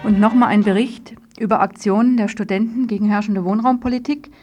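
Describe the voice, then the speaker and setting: A woman's voice: mid-range voice, steady tone, a little fast, dark tone, soft background music. A woman presenting a live radio news magazine, handling interviews and introducing reports. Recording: dull, lo-fi radio recording.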